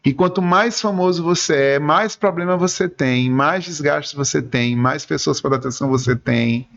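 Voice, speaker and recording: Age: adult. Sex: man. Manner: earnest, explanatory. Pitch: somewhat high. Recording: dull. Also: moderate pace, fairly bright tone. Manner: earnest, explanatory, rambling with restarts. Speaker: guest, advertising and entertainment businessman. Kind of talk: radio interview conversation.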